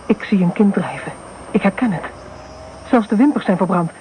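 An actor playing a hushed, dark-minded questioner. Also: medium pitch, lively intonation, normal speed, slight background noise, natural voice, low-quality recording. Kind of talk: radio drama within a radio broadcast.

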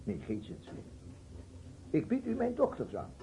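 A man's voice: very high voice, wildly swooping pitch, normal speed, gravelly, mellow, slight background noise, heavily acted. A man acting in a radio play as an agitated, pleading boyar ruler who repeats himself and speaks effusively. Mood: earnest pleading. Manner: effusive, repeats himself, swears earnest oaths. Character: agitated, pleading male boyar ruler of a war-torn realm.

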